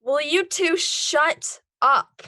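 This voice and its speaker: A woman playing a brisk, commanding superhero team leader in an amateur table read. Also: sits high, pushed and bright, no echo, studio quality, exaggerated voice. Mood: exasperated, firm. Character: Rory, bossy no-nonsense female werewolf superhero team leader.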